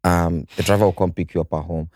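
A man's voice: low-pitched, steady tone, fast, a little mellow. A man in his forties with a Nigerian accent, hosting a casual podcast debate, teasing and pressing for answers and mixing in pidgin. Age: 40s. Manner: teasing, insistent, mixes pidgin. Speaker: podcast host.

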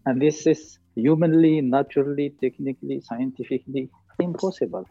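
A man with an Algerian accent answers as an expert guest, explaining deliberately and with emphasis. His voice is husky and of average pitch. It comes through thin and narrow, like a phone call. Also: dark tone, no echo. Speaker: climate policy consultant, interview guest. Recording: thin phone-like call audio, faint music.

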